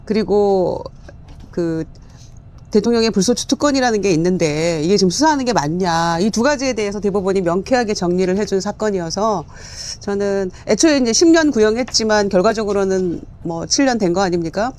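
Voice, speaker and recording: Measured woman lawmaker commenting. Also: mid-range voice, animated, darkish tone. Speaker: lawmaker panel guest. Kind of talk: political commentary.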